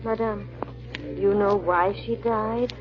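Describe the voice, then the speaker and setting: A woman's voice: mid-range voice, steady tone, slow pace, lightly acted. A woman playing a loyal, worried maidservant in a 1940s radio drama, heard on a muffled old broadcast recording. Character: loyal maidservant companion, worried but stubbornly devoted.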